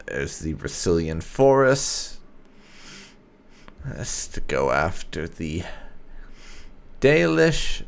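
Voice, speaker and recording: A man's voice: low-pitched, vocal fry, fairly bright tone. A man giving casual, conversational gameplay commentary as a video host, with some light roleplay.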